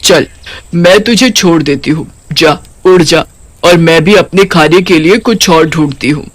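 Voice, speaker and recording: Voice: mid-range voice, a little slow, close to an everyday voice. A performer voicing a hungry, blunt, boastful but secretly kind-hearted cartoon witch with brash, colloquial delivery. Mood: softening. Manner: brash, taunting, colloquial scolding. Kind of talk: Hindi cartoon character voice.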